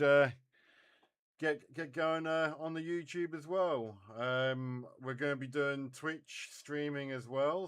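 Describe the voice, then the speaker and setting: A man hosting a CB radio net and live stream in a casual, halting way. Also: medium pitch, a bit bright, smooth.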